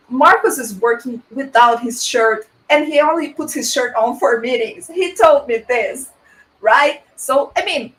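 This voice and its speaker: A woman's voice: fairly high pitch, a bit bright, poor audio. Chatty woman with a Brazilian accent. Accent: Brazilian Portuguese. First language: Portuguese. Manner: chatty, laughs often, fills with "like". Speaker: webinar host. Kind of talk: casual webinar chat. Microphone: video call.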